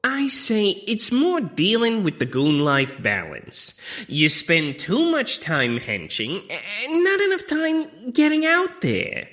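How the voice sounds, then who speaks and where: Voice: mid-range voice, wildly swooping pitch, takes it slowly, fairly bright tone, exaggerated voice. An actor playing a chatty, mock-genteel henchman who speaks in fussy, wordy phrases in a comic audio drama.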